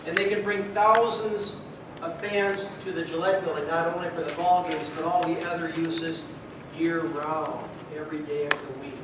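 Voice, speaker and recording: A man with a slightly high voice presents points at a public meeting, insistent as he moderates. He is recorded from a distance in a very reverberant hall, with clear background noise. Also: little pitch movement, moderate pace. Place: indoor theatre at community meeting. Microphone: distant camera microphone. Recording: very echoey theatre hall, distant, noisy.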